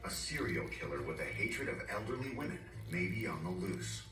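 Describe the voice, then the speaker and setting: A man's voice: low voice, big pitch swings, moderate pace. A man reading scripted true-crime narration as a documentary narrator. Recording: echoey distant TV playback under loud music. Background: loud background music.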